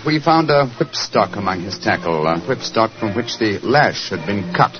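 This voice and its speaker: An actor playing a brilliant, self-assured British detective in theatrical, urbane 1940s radio style. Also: male voice, lowish voice, animated, normal speed, close to an everyday voice. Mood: urbane, explaining. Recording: muffled 1940s radio recording.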